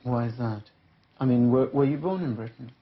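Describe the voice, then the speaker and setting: A man's voice: mid-range voice, normal speed. A male interviewer asking probing, challenging questions, recorded on dull 1980s documentary audio.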